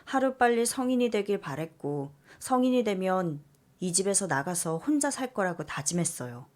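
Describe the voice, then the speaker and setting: Calm woman reading a story aloud. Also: fairly low pitch. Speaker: story reader. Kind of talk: scripted narration of a listener's story.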